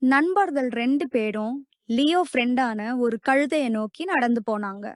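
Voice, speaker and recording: A woman's voice: sits high, moderate pace, no echo, studio quality. A woman narrator reading a scripted moral story for children.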